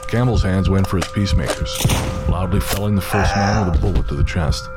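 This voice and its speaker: A man narrating a scripted horror audio drama in a measured, steady way. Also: low-pitched. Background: music.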